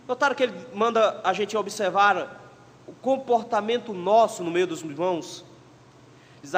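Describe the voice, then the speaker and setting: A man with a Pernambuco accent preaching a sermon as pastor, emphatic and shifting between loud exhortation and quiet asides. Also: high-pitched. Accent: Pernambuco Portuguese. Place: church sanctuary. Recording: long church reverb.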